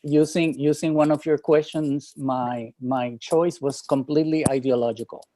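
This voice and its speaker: A man from Rico, an author and literary critic who answers questions at a panel in a deliberate, measured way. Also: a little high, steady tone, warm tone, slight background noise. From Puerto Rico.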